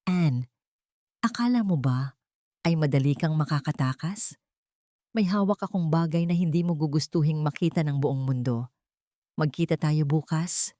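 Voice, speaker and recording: A woman's voice: deep voice, mellow, dry recording, studio quality, natural voice. A woman narrator voicing a cold, mocking older mother-in-law who makes threats, in a Filipino-accented audio drama. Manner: cold, menacing.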